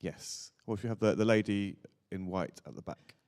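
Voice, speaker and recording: A man's voice: low-pitched, normal speed, darkish tone. A man hosting a live audience Q&A, who talks casually with hedges and fillers as he takes questions from the floor. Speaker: host and moderator.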